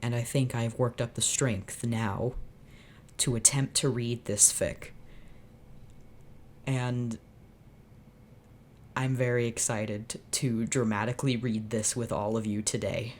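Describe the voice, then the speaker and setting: A woman's voice: very deep voice, fairly even intonation, slow pace, pauses often, a little mellow, a little hiss or hum. A woman hosting her channel, reading fanfiction aloud unscripted and reacting as she goes, in a sardonic, dramatic way.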